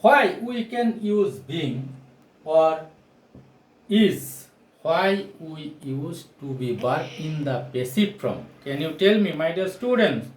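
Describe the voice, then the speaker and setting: Slow, swooping male teacher, non-native English. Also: on the high side, expressive. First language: not English. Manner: deliberate, repeats key phrases, projected classroom delivery. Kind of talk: whiteboard grammar lesson, explanatory with rhetorical questions. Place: small indoor room with bare walls, at a whiteboard. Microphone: camera or phone mic a few steps away. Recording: roomy echo, distant camera microphone.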